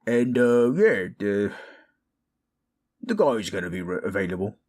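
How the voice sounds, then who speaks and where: A man playing a gruff, weary but good-hearted orc adventurer in a fan audio drama, blunt and grumbling. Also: fairly low pitch, very animated, measured pace, darkish tone, exaggerated voice. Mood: hesitant.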